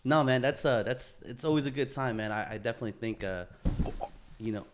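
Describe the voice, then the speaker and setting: A man's voice: medium pitch, brisk, noisy background. A man co-hosting a podcast in casual, slangy conversation, often addressing his friend as bro, dude or man. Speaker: podcast co-host.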